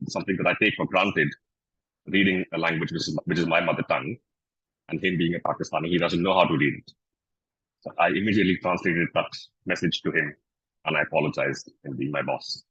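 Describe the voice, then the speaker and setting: A man's voice: sits low, fairly even intonation, brisk, a bit bright, breathy edge, no echo, dull audio. A man sharing a workplace anecdote at a club meeting, then inviting others to share their own stories. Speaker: meeting facilitator.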